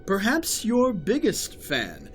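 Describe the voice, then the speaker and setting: A man plays a menacing villain boss in an audio drama. He is smug and dryly sarcastic, with a fairly high, very animated voice that bosses henchmen around over clear music. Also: slow, full character voice. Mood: smug, sinister. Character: sinister male villain boss, smug, commanding his henchmen.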